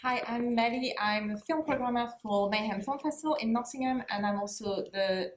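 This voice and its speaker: A woman's voice: a little high, normal speed. A woman in her thirties speaking informally as a film programmer on a panel. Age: 30s. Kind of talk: panel discussion, informal report. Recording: echoey room.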